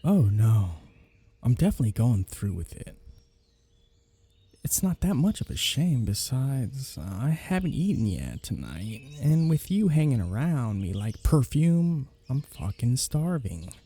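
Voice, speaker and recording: Husky, teasing male vampire, acted by a man. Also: medium pitch, very animated, measured pace, dark tone, exaggerated voice. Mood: hungry, menacing tease. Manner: teasing, sarcastic, swearing banter. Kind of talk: ASMR roleplay audio drama, one side of dialogue. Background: birdsong, woodland sound effects.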